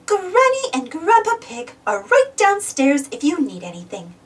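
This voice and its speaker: A woman voicing a caring mother pig in a storybook, with a gentle parental manner. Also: extremely high pitch, huge pitch swings, a little slow, distant mic, voice pushed up, full character voice. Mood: tender.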